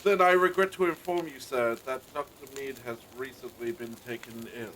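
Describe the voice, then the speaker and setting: A man's voice: medium pitch, steady tone, moderate pace, a bit bright, a little hiss or hum, close to an everyday voice, rough recording. A man playing a courteous, genteel master of the house in a period audio drama, formal and politely apologetic. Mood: courteous, flustered.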